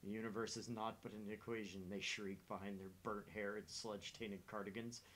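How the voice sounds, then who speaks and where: A man playing a laddish monster hunter, a gravelly voice and a roguish, theatrical storytelling manner. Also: lowish voice, flat delivery, fairly quick, a bit bright, a little hiss or hum, natural voice, poor audio. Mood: grim, melodramatic. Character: cheeky, laddish British monster hunter tour guide.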